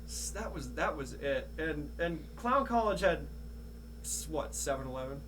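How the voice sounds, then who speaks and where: A man's voice: fairly high pitch, animated, normal speed, fairly bright tone. A young man hosting a casual interview, thinking aloud and rephrasing as he goes. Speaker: podcast host. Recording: clearly noisy with a background hum.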